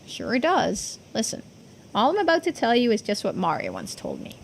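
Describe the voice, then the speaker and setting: A woman's voice: fairly high pitch, huge pitch swings, normal speed, gravelly, a little hiss or hum, heavily acted. A woman voicing a folksy, earnest islander who explains old secrets in video game dialogue. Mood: grave.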